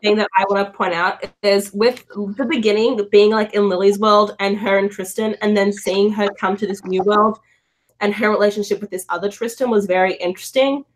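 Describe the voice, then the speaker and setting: A woman's voice: medium pitch, steady tone, fairly quick, poor audio. Woman, mid-range voice, rambling informal book-talk, slangy and loosely phrased. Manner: filler-heavy, slangy "like" and "dude". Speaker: book discussion panelist. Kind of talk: casual rambling chat about a novel. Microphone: video call.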